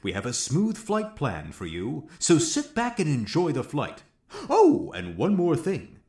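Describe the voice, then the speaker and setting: A man's voice: on the high side, huge pitch swings, measured pace, a little mellow, reverberant. An actor playing a showy male mission control announcer in an audio drama. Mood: grand, welcoming. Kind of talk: theatrical scripted audio drama.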